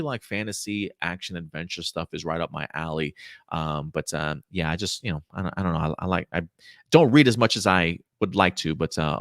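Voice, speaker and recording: A man's voice: sits low, fairly bright tone, dry recording, clean studio sound. A man in his thirties hosting a live show in casual chat, talking in a rambling, hesitant way and correcting himself as he goes. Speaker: host of a live pop culture show. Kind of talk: casual chat, answering viewer questions.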